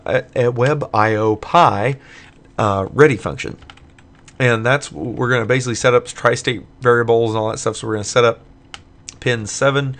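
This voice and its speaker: Low-voiced man explaining code, slightly hoarse. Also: fairly bright tone. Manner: casual, thinking aloud with many fillers. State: voice getting hoarse late at night. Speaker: tutorial maker. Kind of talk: screen-recorded coding tutorial.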